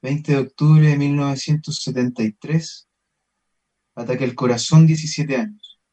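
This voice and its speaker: Radio drama role: male actor for curious, thoughtful young man, Chilean accent, warm rounded voice, questioning manner. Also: mid-range voice, steady tone, normal speed, close to an everyday voice. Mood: somber. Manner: questioning, earnest.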